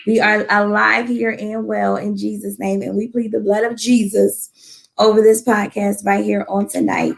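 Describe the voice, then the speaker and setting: Hoarse gravelly woman hosting a prayer podcast. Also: mid-range voice, level tone, moderate pace. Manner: prayerful, peppered with church phrases. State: losing her voice. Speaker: podcast host. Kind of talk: casual faith podcast hosting.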